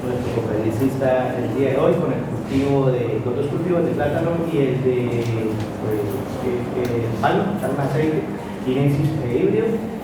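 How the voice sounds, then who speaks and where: A Colombian man presenting a guest speaker in a formal seminar welcome, speaking haltingly and repeating words. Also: mid-range voice. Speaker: event presenter introducing a speaker. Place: echoey room at a university seminar. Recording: echoey, distant, noisy room sound.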